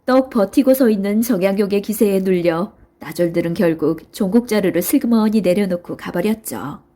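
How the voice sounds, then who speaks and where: Mellow woman narrating a children's storybook. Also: mid-range voice, moderate pace.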